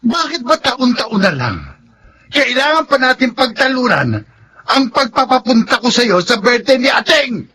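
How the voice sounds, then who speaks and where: Insistent, irritable husband. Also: male voice, very high voice, big pitch swings, measured pace, bright, forceful tone, slight background noise, exaggerated voice. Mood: irritated. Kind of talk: Tagalog radio drama acting.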